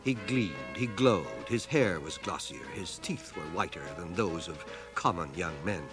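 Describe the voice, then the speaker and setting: A bookish, self-deprecating man narrating his memoirs for a 1980 radio drama. His delivery is measured and wry, with wide, expressive swings of pitch. Music plays behind him on the cassette transfer. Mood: wry, reflective.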